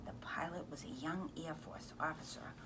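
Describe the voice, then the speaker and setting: A woman reading a children's novel aloud in an expressive, animated storytelling manner. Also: low voice, normal speed, whispered, poor audio.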